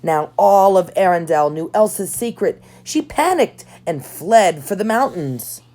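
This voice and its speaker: Female storybook reader, low and warm-toned, expressive and dramatic phrasing, light music underneath. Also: a little slow. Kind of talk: expressive read-aloud of a children's storybook. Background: background music.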